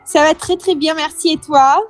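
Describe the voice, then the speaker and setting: A woman's voice: high-pitched, steady tone, normal speed, soft background music. A woman in her twenties from Madagascar, answering interview questions hesitantly, with many fillers and restarts and a few English terms slipped in. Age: 20s. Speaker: interview guest.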